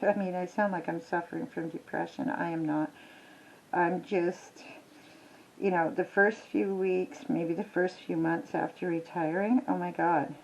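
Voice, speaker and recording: An older woman, retired, chatting casually with lots of ums while she works on a craft at home, her voice a little croaky. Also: fairly low pitch, muffled recording. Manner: rambling, chatty, many ums and restarts. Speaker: crafting vlogger. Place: small home.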